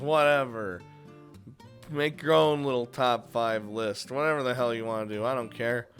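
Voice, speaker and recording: Male gaming YouTuber, mid-pitched and unhurried, informal and sweary commentary over faint guitar music. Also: fairly bright tone. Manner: informal, chuckling, frequent swearing. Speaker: video game YouTuber hosting a top five list. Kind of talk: casual video commentary on visual novels.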